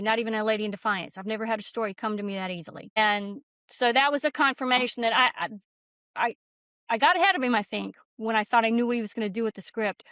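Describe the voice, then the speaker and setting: A middle-aged woman with a fairly high voice talks as an interview guest. She is earnest and candid as she reflects and tells stories. The sound is close and dry but a little muffled. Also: a bit bright, clear, resonant tone, no echo. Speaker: guest author and screenwriter.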